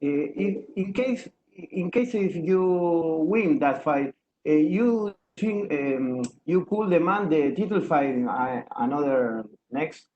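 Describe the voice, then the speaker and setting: Argentine spanish-accented reporter, slightly high voice, halting english, dull lo-fi call sound. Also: male voice, lively intonation, warm tone. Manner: halting, searching for English words.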